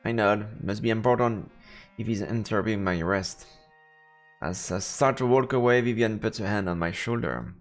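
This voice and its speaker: A man's voice: lowish voice, moderate pace. A man reads visual novel narration aloud dramatically as a streamer. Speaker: streamer narrating a let's play. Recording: roomy, echoing voice with long reverb. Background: game music.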